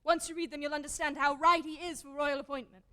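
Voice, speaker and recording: An actress in a stage play voicing a spirited, desperate young wife who is direct and emotional. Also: female voice, high-pitched, fairly even intonation, quick pace, bright, forceful tone. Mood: earnest, wary. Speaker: stage actor in a school one-act play. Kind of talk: theatrical stage period drama acting.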